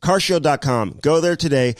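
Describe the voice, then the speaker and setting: A man's voice: on the high side, normal speed, dry recording, studio quality. A man co-hosting a podcast, rambling through ad reads and casual chat with lots of fillers, sounding a little nasal from a cold. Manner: rambling, filler-heavy delivery. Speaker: podcast co-host.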